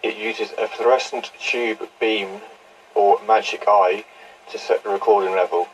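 A distant recording of a man with a fairly low voice. He speaks in a measured, matter-of-fact way, as in a scripted equipment demonstration. Faint noise sits on the reel-to-reel tape recording. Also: steady tone. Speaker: presenter demonstrating vintage audio equipment. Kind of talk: scripted test recording and product narration.

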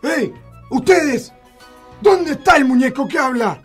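A man's voice: very high voice, expressive, unhurried, soft background music. An actor playing an irascible, greedy old puppet-maker with an Argentine accent in a comic radio play, a man's voice. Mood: furious.

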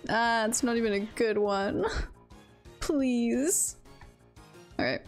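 A woman chats casually as she plays a game on stream. Her voice sits a little high with a noticeable creak, and she takes her time. She is playful and giggly, with faint game music underneath. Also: slow. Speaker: streamer playing a video game. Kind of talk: casual livestream chat and game commentary.

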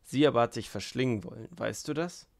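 Male reader as mocking young man, spiteful, boastful, loud, needling, audiobook villain, mid pitch, dry sound. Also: a little fast, vocal fry, no room sound, close to an everyday voice. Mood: sneering.

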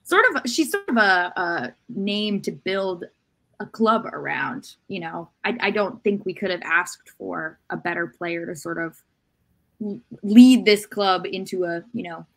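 Lower-pitched woman talking sports, halting phrasing. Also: gravelly. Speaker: podcast co-host. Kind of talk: casual sports discussion. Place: room at home, indoors. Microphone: video call.

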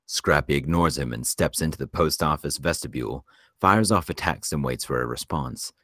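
Very deep-voiced male script narrator. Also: normal speed, fairly bright tone, no echo, clean studio sound. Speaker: narrator reading stage directions. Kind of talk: scripted narration for a table read.

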